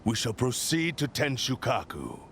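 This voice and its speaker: An actor playing a prideful, bitter spirit of a cursed sword in a video game, speaking with haughty, menacing formality. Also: male voice, medium pitch, huge pitch swings, normal speed, gravelly, background noise. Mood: cold, resolute. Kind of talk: dramatic video game voice acting. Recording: music under the voice.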